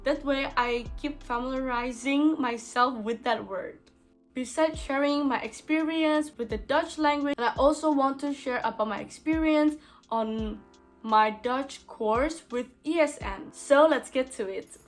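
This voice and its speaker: A woman's voice: high voice, a little slow, faint music behind. A young woman from Indonesia with an Indonesian accent, talking casually to camera from a small bedroom, full of "like" fillers and restarts. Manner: chatty, many "like" fillers and restarts. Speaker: vlogger.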